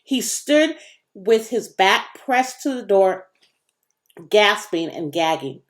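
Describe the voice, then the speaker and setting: A woman reading a children's novel aloud as an expressive storyteller, with dramatic emphasis. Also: medium pitch, slow pace. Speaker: audiobook reader.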